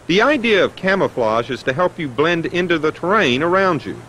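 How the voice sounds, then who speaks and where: Lively American male instructor, higher-pitched. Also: fairly quick. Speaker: training film instructor and narrator. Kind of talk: scripted instructional narration. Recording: noisy 1990s training film soundtrack.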